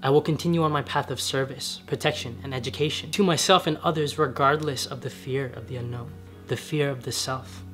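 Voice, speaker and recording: A young man with a slightly raspy voice, talking earnestly to camera in a casual vlog from his home garage. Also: medium pitch, fairly even intonation, fairly quick, fairly bright tone, faint music behind. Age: young adult. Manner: earnest, gesturing with his hands while sitting on the floor. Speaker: vlogger. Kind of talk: casual spiritual vlog talk. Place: home garage, medium-sized, indoors.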